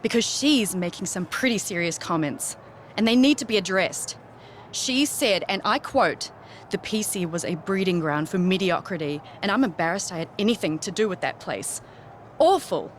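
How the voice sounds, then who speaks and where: A woman's voice: mid-range voice, lively intonation, moderate pace, a little hiss or hum, natural voice. A woman playing an indignant, accusing wrestler in a game interview, emphatic and self-righteous. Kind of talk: video game interview scene.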